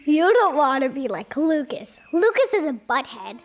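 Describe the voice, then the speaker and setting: A woman voicing a curious, cheeky little young ape in an animated storybook, with a blunt, childlike manner. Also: high-pitched, moderate pace.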